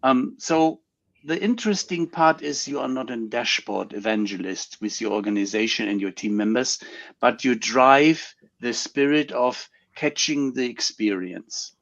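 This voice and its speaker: A man's voice: mid-range voice, a little slow, fairly bright tone. Hesitant male host on dull audio. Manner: formal welcome, hesitant, many fillers. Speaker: show host. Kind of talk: casual show introduction.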